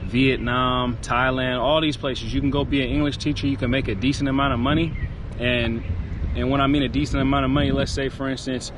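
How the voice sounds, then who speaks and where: A man's voice: medium pitch, fairly even intonation, brisk. Young man vlogging outside. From East Bay Area, California. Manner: rambling, reflective, frequent uh fillers. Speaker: fitness vlogger. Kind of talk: casual vlog talk to camera. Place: outdoors by a city convention center. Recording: outdoor street noise, cars passing. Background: city traffic noise.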